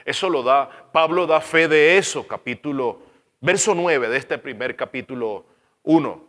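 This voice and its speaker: Earnest Caracas pastor preaching in a hall. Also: male voice, medium pitch, unhurried. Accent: Venezuelan, Caracas. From Venezuela. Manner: earnest, repeats phrases for emphasis, trails off quietly at ends. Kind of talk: sermon.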